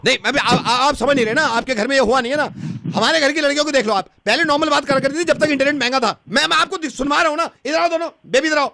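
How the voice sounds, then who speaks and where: A man's voice: very high-pitched, fast talker, sharp tone, no echo, full character voice. A man playing an exasperated older customer on a comic prank call, complaining insistently and repeating himself. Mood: frantic pleading. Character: irate older customer complaining about cheap internet. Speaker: radio prank caller.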